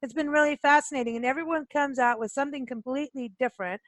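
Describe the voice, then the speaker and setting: A woman's voice: high voice, fast talker, no room sound. A woman hosting a radio interview in a casual, chatty and enthusiastic way. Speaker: radio show host.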